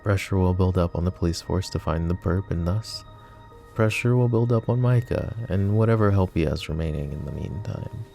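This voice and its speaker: A man playing a possessive, protective mafia boss in an intimate ASMR roleplay, speaking in a hushed, deliberate, tender way that often dips toward a whisper. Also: low voice, vocal fry, soft background music. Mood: calm, instructing. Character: possessive, protective male mafia boss, smooth menacing devotion.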